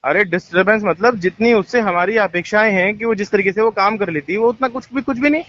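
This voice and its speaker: A man's voice: high-pitched, fast, a bit bright. A man talking informally on a recorded phone call, explaining himself insistently. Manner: insistent, explaining, repeatedly asking to be heard. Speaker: resort boss speaking on a phone call. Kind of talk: tense informal phone conversation.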